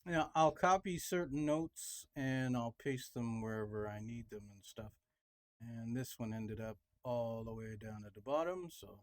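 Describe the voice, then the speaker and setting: A man's voice: mid-range voice, measured pace. A fifty-year-old man talking casually about making music, rambling and restarting his sentences, with lots of fillers. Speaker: music producer vlogger. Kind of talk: casual home-studio vlog.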